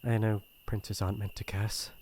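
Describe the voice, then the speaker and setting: A man with a British accent playing a shy, earnest young crown prince in an ASMR roleplay, speaking in formal, hesitant, gentle phrases. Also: on the low side, level tone, normal speed, slightly rough. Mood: embarrassed, troubled. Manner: formal courtly phrasing, hesitant and soft-hearted.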